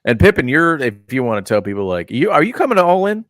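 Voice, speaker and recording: A man's voice: on the high side, big pitch swings, quick pace, fairly bright tone, no echo, studio quality. A man from Texas hosting a casual chat podcast, rambling with lots of fillers and restarts. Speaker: podcast host. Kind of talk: casual chat about wrestling and gaming.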